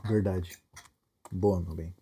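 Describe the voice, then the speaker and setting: Brief: young Brazilian man co-host, very dark warm tone, relaxed and slangy. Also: deep voice, takes it slowly, husky. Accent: Brazilian Portuguese. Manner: laid-back, informal slang. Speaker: podcast co-host. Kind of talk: casual couple conversation.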